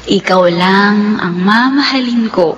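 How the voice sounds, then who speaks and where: A woman's voice: mid-range voice, takes it slowly, a bit bright. Radio romance lead: devoted, vulnerable young woman, mid-range voice, tender and emotional delivery. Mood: loving. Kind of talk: radio drama acting in Filipino love story.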